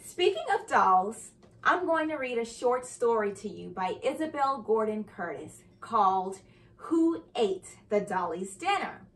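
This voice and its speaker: A young adult woman reading a children's story aloud with expressive, carefully enunciated storytelling delivery. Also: a little high, a little slow. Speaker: host and reader of children's read-aloud show. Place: indoor living room by a fireplace.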